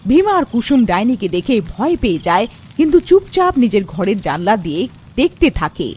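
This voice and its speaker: A woman's voice: mid-range voice, big pitch swings, normal speed, a little mellow, husky. A woman narrating a scripted cartoon story.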